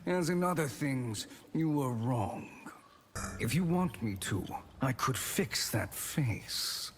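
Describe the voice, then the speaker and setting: Disdainful video game warrior, unhurried menacing lines, mid pitch. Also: male voice, animated, a little hiss or hum. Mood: threatening. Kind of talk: fighting game character dialogue.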